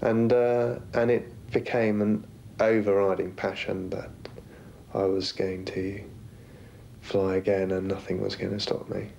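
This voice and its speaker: A man with a low, gravelly voice speaks slowly and haltingly, as if in a reflective documentary interview. There is faint noise behind him. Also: little pitch movement, a little mellow, lo-fi sound. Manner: halting, pausing mid-phrase.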